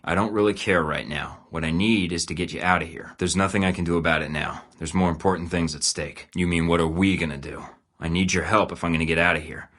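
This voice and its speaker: A man's voice: low-pitched, bright, forceful tone. An actor playing a male secret agent in a video game, speaking dry, clipped, matter-of-fact lines.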